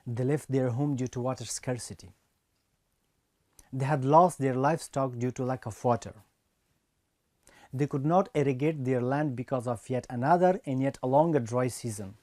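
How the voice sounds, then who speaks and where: Young man from Afghanistan, measured prepared speech, mellow tone, dry close sound. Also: medium pitch, no room sound. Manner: earnest, measured delivery. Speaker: speech reader.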